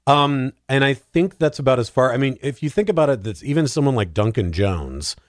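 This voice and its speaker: A man's voice: mid-range voice, animated, a little fast, dry recording, clean studio sound. A man chatting casually and humorously as a guest on a podcast about films. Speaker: podcast guest, film show host.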